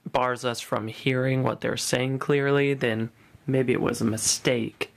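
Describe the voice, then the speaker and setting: Male podcast host with medium-pitched voice. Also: fairly bright tone, clean tone. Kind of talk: casual podcast chat.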